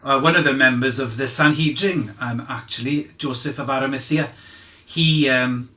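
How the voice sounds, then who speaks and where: A man playing a candid, humble fisherman giving halting, conversational eyewitness testimony in a courtroom drama. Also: mid-range voice, pushed and bright, close to an everyday voice. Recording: roomy, echoing sound.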